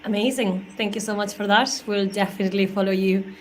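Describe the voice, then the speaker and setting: A woman's voice: mid-range voice, little pitch movement, brisk. A woman moderating an online panel, hesitant, with many fillers. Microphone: video call. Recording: slightly noisy video-call audio.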